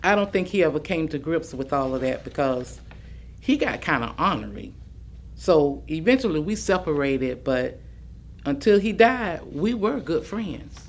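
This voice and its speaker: Woman playing a lively, folksy grandmother storyteller. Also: sits low, measured pace, heavily acted. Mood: reflective. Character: elderly Southern grandmother recalling her life, plainspoken, reflective. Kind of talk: dramatic staged reading of oral history.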